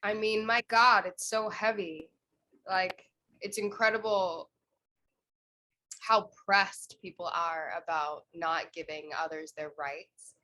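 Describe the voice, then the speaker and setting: A woman in the film's cast answering a fan's question on a video panel in an earnest, thoughtful way, pausing to find her words. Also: on the low side, steady tone, bright, forceful tone, poor audio. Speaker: cast member.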